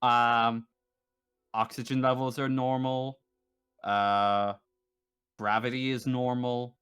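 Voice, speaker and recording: A man acting as game master, improvising narration and table talk in a halting way, repeating words as he thinks aloud. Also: mid-range voice, little pitch movement, a bit bright, clean tone, soft background music.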